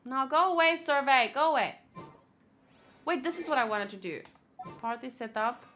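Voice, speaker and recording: A woman chatting casually on a gaming livestream, thinking aloud in an expressive, chatty way. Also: sits high, normal speed. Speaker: video game streamer. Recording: clear background noise.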